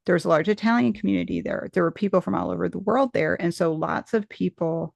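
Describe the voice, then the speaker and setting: Host, middle-aged woman, gravelly low edge to the voice, dry close sound, musing and digressive. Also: fast talker, a little mellow, dry recording. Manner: thoughtful and rambling, many restarts. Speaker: podcast host interviewing a guest. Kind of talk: casual conversational interview.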